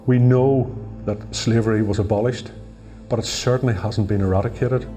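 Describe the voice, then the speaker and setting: Earnest man, lowish voice. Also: steady tone, moderate pace. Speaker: support worker for trafficking victims. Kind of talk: documentary interview. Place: office interview setup. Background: soft background music.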